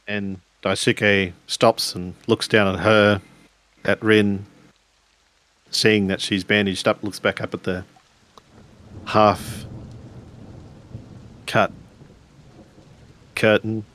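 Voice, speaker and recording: A man improvising descriptive narration as a player in a tabletop game, measured and pausing between phrases. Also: lowish voice, level tone, a bit bright.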